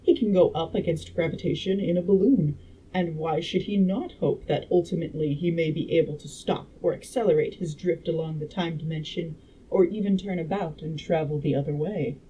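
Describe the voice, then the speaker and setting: Storybook role: assured gentleman inventor lecturing, mellow tone, played by a woman, some background noise. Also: low voice, fairly quick, natural voice. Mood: insistent, lecturing. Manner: didactic, assured. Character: confident Victorian gentleman inventor lecturing on his theory. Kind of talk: audiobook-style character reading. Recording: noisy room background.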